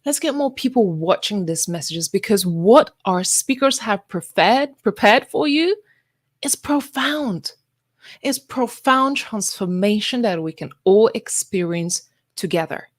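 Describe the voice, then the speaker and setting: A woman's voice: mid-range voice, lively intonation, a little slow, no room sound, studio quality. A woman hosting a live online event, upbeat and coaxing her viewers to share, comment and connect with the speakers. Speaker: summit host.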